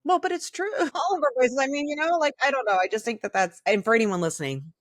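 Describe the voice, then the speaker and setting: A woman's voice: sits high, lively intonation, quick pace, no echo, clean studio sound. A middle-aged woman co-hosting a podcast, talking candidly and casually in an opinionated, blunt way and swearing freely. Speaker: podcast co-host.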